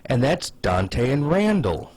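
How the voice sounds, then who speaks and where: A man hosting a casual comedic commentary video, pitching ideas in a wry, sarcastic, opinionated way. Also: very animated, slow pace, a little mellow, breathy edge, no room sound.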